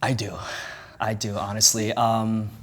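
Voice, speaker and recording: A man's voice: fairly low pitch, monotone, measured pace, fairly bright tone. A man in his twenties talking casually and earnestly, full of fillers, in a candid group conversation. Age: 20s. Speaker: participant.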